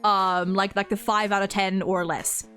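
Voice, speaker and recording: A woman hosting a casual, unscripted opinion chat about games, rambling and thinking aloud in halting phrases. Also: medium pitch, flat delivery, a bit bright, soft background music. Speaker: host of a video game ranking video.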